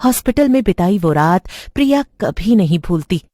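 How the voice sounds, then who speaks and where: A woman's voice: medium pitch, lively intonation, normal speed, warm tone, no echo. A woman reading a scripted horror story as its narrator, recorded close up.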